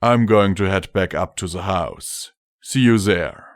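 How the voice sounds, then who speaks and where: A man voicing a weary, caring father pony in a storybook, speaking in a measured, quiet, gentle way. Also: deep voice, no room sound, clean studio sound. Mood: mild.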